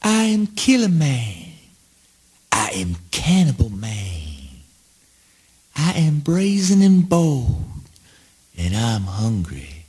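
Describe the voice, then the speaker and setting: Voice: medium pitch, huge pitch swings, slow pace, pauses often, darkish tone, husky, heavily acted. An actor playing a brazen, deranged, boastful cannibal killer, declaiming in a spoken word play. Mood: boastful, manic.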